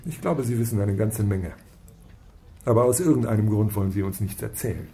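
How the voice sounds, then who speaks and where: Impatient, pushy police detective. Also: male voice, lowish voice, lively intonation, fairly quick, noisy background. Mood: stern pressure. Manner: sarcastic, demanding. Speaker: actor. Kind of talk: naturalistic radio crime drama acting.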